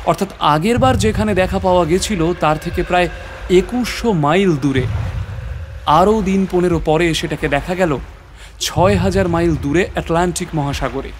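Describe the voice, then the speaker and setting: একজন পুরুষ অভিনেতা প্রাকৃতিক ইতিহাসের অধ্যাপকের চরিত্রে নাটকীয়, রহস্যময় ভঙ্গিতে নিজের অভিযানের গল্প বলছেন।. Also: male voice, sits high, moderate pace, slight background noise, natural voice. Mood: grave, suspenseful. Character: male natural history professor recounting his adventure. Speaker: narrator. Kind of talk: dramatic scripted story narration.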